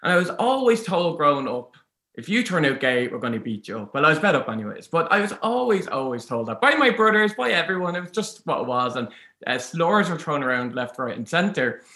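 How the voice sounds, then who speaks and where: Young guy, candid storytelling, bright lively voice, echoey room. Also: a little high, fairly quick. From Ireland. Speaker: podcast guest.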